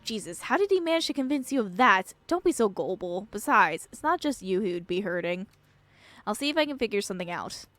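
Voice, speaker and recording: A woman's voice: mid-range voice, very animated, brisk, fairly bright tone, no echo, faint music behind. Woman reading Tabitha, curt and exasperated. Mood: exasperated, worried. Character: Tabitha, irritable, worried woman in a visual novel.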